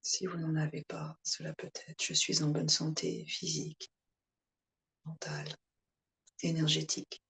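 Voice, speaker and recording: A woman yoga instructor guiding a relaxation meditation in calm, soothing short phrases with pauses. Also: sits low.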